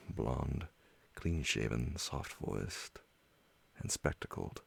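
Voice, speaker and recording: A man with a husky, breathy voice reads a gothic horror story for ASMR listeners. He moves slowly through short, deliberate phrases. The sound is dry and close, with no room around it. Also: rumbling low, a bit bright, no echo. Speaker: storyteller reading a horror tale. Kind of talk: slow ASMR bedtime narration of gothic horror.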